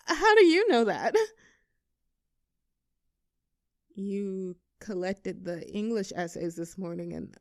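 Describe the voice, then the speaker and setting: Girl voice actor doing a popular mean cheerleader in a roleplay audio, kinda high voice, teasing, bit defensive, super dry recording. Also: dry recording. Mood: flustered, caught out. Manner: teasing, sarcastic, with flustered stammers when cornered. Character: snarky popular high school cheerleader bully hiding a soft crush. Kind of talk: audio roleplay acting, one side of a dialogue.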